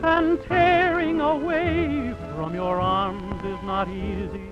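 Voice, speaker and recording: A man's voice: high voice, level tone, slow, muffled recording, music in the background. A man singing a sentimental ballad as a tenor on an old 1930s radio broadcast. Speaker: tenor singer on a radio show. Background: musical accompaniment.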